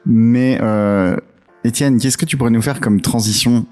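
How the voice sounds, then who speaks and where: A man's voice: mid-range voice, steady tone, a little slow, darkish tone. A man co-hosting a podcast in a casual, joking style. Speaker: podcast co-host.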